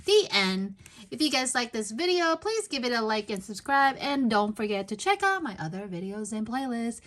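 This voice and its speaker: A woman's voice: on the high side, sing-song, moderate pace, fairly bright tone. A woman hosting a children's read-aloud, narrating expressively and addressing young listeners directly. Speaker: storytime host and narrator.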